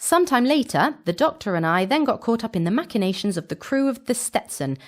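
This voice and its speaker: A woman's voice: fairly high pitch, sing-song, fairly quick. A woman playing a breezy, wry time-travelling companion, narrating an audio drama recap with casual, chatty asides.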